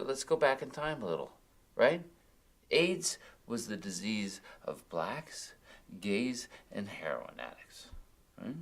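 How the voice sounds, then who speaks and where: An actor playing a drunk, grieving widower who rambles and stammers bitterly in a film drama. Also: male voice, mid-range voice, a bit bright. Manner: rambling, combative, stammering venting. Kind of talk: naturalistic film drama dialogue.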